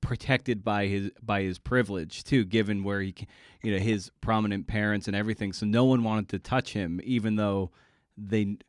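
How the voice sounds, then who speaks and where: A man hosting a conversational podcast interview, halting as he searches for his words. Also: lowish voice, fairly even intonation, no room sound, studio quality.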